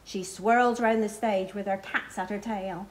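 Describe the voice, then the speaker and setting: A middle-aged woman reading a picture book aloud to children, expressively, pausing to point out the pictures. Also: mid-range voice, fairly even intonation, noisy background. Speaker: library storyteller. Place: small library children's corner, indoors.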